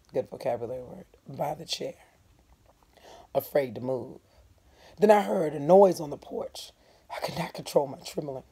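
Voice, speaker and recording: A woman reading a novel aloud in an expressive, dramatic first-person storytelling style. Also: low-pitched. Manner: expressive, dropping into hushed breathy tones.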